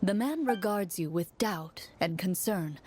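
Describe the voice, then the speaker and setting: A woman playing a game master who narrates a fantasy adventure in a measured, dramatic way. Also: mid-range voice, lively intonation, background noise, natural voice. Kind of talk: scripted storytelling narration.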